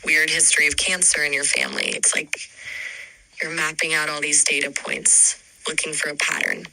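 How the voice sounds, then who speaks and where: A woman's voice in an AI chat, calmly summarizing what she hears and gently asking follow-up questions. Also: low-pitched, moderate pace, no echo, low-quality recording. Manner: calm, summarizing, gently probing. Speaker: AI chat partner. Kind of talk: conversational questioning.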